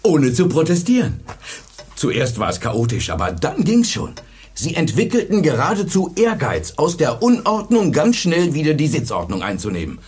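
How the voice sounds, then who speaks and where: Husky, excitable proud teacher. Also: male voice, fairly high pitch, normal speed, heavily acted. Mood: animated, proud. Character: male teacher recounting his classroom experiment with pride. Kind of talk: German radio play acting.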